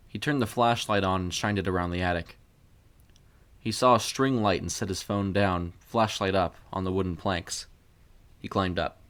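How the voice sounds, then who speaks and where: A man with a fairly low voice narrates a piece of scripted fiction. He reads as the storyteller of an audio story, setting out the action in prose. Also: fairly bright tone.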